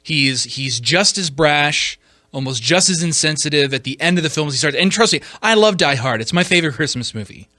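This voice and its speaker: A man with a fairly high, sharp-edged voice recorded close and dry. He speaks as a podcast host giving his opinions on films. His delivery is emphatic and combative, with halting repeats and pointed rhetorical questions. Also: expressive, dry recording.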